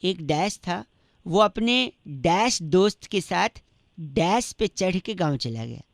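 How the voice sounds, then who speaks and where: A man's voice: sits high, expressive, takes it slowly, vocal fry, no room sound, clean studio sound, exaggerated voice. A man playing a cheeky, persistent young prank caller, teasing and needling with mock politeness in a radio comedy call. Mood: playful, riddling.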